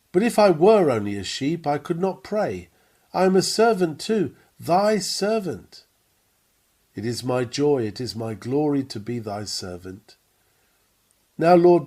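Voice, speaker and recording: A man reading a sermon aloud in an earnest, measured, emphatic preaching manner. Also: on the high side, darkish tone. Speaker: reader of a printed sermon. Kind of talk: read-aloud sermon, devotional.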